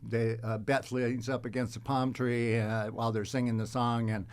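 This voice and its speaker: A man speaking as an interview guest, telling casual stories in a halting, rambling way. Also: medium pitch, flat delivery, clean tone. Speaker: guest, actor.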